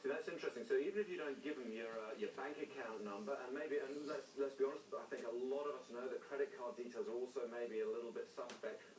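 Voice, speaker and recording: A man's voice: mid-range voice, fast, few pauses, echoey room, recorded from a distance, crowd noise, rough recording. A man with a New Zealand accent interviewing in an informal, jokey way as a breakfast television host. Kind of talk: conversational broadcast interview.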